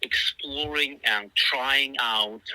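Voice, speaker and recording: A man's voice: medium pitch, takes it slowly, pushed and bright, no echo, low-quality recording. A middle-aged man whose first language is Russian, giving long, thoughtful interview answers full of fillers and restarts. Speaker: musician guest.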